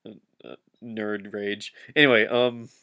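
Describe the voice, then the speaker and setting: A man's voice: on the low side, flat delivery, takes it slowly, bright, forceful tone. A man doing a comic dramatic reading of fan fiction, giggly and often breaking off to heckle the text with asides.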